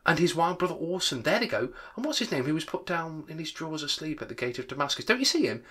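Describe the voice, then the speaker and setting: An old storybook miser acted out by a man, overcome with feeling. He exclaims in a bright, forceful voice that swoops well upward with boyish excitement. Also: medium pitch, a little fast, slightly rough, voice pushed up, heavily acted. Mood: excited, overjoyed.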